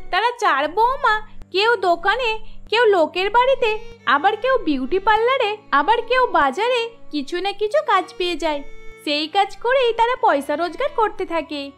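Very high-pitched woman narrating a story. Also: a bit bright. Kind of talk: scripted cartoon story narration. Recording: music under the voice.

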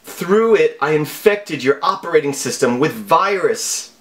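An evil villain hacker with a made-up foreign accent. His voice is high and swoops widely, and he delivers threats slowly and theatrically in broken English, with boastful menace. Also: expressive. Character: evil villain hacker, menacing, over-dramatic male scammer.

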